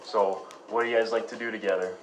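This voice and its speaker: A man's voice: lowish voice, fairly even intonation, quick pace, faint music behind, close to an everyday voice, poor audio. A man playing a polite, curious radio show host in an acted interview, conversational and prompting. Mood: curious, probing.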